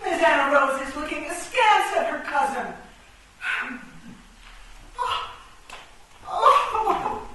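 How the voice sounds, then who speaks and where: Woman acting high-voiced theatrical chess queen, echoey stage. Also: animated, slow pace, long pauses, pushed and bright, full character voice. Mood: moved. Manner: theatrical, exclamatory. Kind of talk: live radio play acting. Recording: echoey noisy stage, far from mic.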